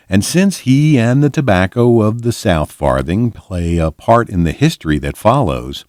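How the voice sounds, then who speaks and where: A man with a deep voice reads a fantasy tale aloud. His delivery is measured and expressive, with lively swings in pitch. The sound is close and dry, suited to a bedtime audiobook. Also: no echo. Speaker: volunteer storybook reader. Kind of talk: scripted audiobook narration of a fantasy book.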